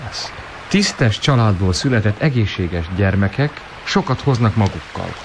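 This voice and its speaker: Radio play role: earnest, commanding schoolboy, animated, clear noise behind. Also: male voice, lowish voice. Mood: solemn. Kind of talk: radio drama acting.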